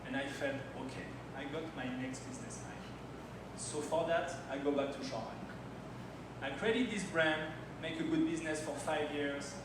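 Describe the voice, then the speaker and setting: A man's voice: on the high side, normal speed. A man in his thirties from France, speaking English as a second language and telling personal stories in a timed slide talk at a launch party. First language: French. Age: 30s. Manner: storytelling, personal anecdotes, non-native phrasing. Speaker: conference speaker. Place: event hall at a launch party. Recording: very echoey hall, distant mic, noisy.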